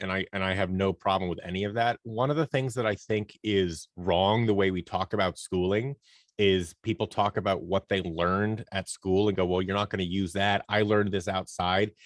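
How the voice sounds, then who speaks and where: A man with a lowish voice speaks in a casual interview style. The sound is dry, with little room in it. He explains things in an animated, conversational manner, as a guest would. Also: no room sound. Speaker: podcast guest, audio drama producer and performer.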